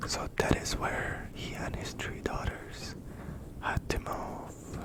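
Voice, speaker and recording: Slow, breathy ASMR whisper. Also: rough recording. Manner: slow, deliberate, repeats phrases softly. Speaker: ASMR storyteller. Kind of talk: whispered ASMR bedtime storytelling.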